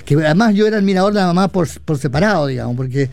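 A Chilean man talking as a radio columnist, rushing and halting with many restarts and fillers. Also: on the high side, expressive, vocal fry, a little mellow, no echo. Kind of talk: casual radio chat.

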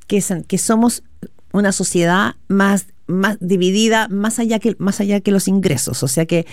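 A woman's voice: medium pitch, fairly even intonation, normal speed, a little mellow, no room sound, clean studio sound. A woman with a Chilean accent, speaking conversationally as a radio interview host.

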